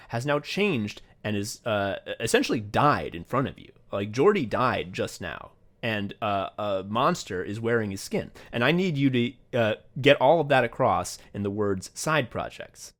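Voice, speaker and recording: A man's voice: fairly low pitch, very animated, normal speed, few pauses. A man co-hosting a casual behind-the-scenes chat, swearing freely and running himself down as he talks about directing. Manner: profane, self-deprecating. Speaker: podcast co-host and audio play director.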